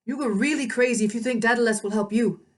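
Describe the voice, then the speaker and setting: A woman's voice: on the high side, fast talker, far from the mic, voice pushed up, natural voice. A woman reading the part of a bitter teenage boy fighter in a storybook, sneering and dismissive.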